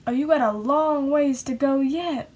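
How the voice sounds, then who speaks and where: High-voiced, defiant small-town woman in an amateur play. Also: measured pace, a little mellow. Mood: mocking. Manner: folksy, scornful, accusing. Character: bitter, defiant small-town woman nagging her brother. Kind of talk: amateur stage-play acting over video call. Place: home, on a video call. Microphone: video call microphone.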